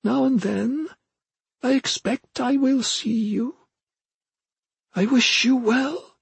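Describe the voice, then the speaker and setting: A man voicing a solemn old ghostly mentor in an audiobook, with a thin, strained voice and slow, formal, drawn-out phrasing. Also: extremely high pitch, gravelly, slightly rough, dry recording, pitched above the performer's own voice, full character voice. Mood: solemn farewell. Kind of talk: audiobook character acting.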